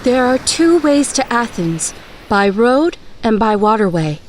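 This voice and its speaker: Woman doing worried loving mother, tender and solemn, higher voice, light background hiss. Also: sing-song, measured pace. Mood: earnest. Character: loving mother of a young hero, worried, wise.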